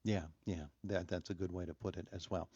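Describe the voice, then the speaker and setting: A man's voice: low-pitched, steady tone, a little fast, gravelly, darkish tone, breathy edge, dry recording, lo-fi sound. A man hosting a local morning radio interview, chatting easily and affirming his guest often. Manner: conversational, frequent affirming murmurs. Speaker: radio host.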